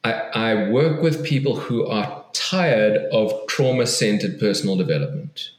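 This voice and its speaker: A man's voice: lowish voice, expressive, unhurried, reverberant. A man, a guest author on an interview podcast, speaks thoughtfully and earnestly, often restarting and repeating words.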